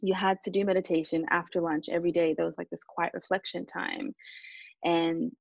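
Calm, low-voiced woman with creaky edges. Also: a little fast, no room sound, muffled recording. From Japan and Australia. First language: Japanese. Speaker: interview guest, musician. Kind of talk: reflective conversational interview.

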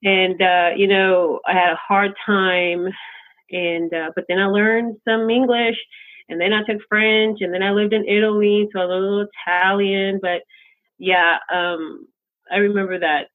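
A man hosting a casual podcast chat, rambling and halting, with many fillers and restarts. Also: high-pitched, little pitch movement. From United States, childhood in Colombia. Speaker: podcast host. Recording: tinny phone-like line.

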